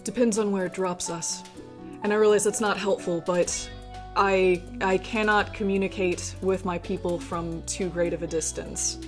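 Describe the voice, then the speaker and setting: A woman game master voicing a guarded, weary man who speaks in measured, formal phrases, in a tabletop game. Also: mid-range voice, fairly even intonation, faint music behind, natural voice. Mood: guarded, uneasy. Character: guarded, weary man, impatient refugee leader with a wife.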